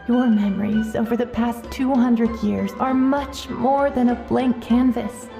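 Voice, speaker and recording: A woman playing a gentle, solemn ancient spirit in a video game, with an echoing voice over music. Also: a little high, steady tone, vocal fry. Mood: tender, solemn. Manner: slow, solemn.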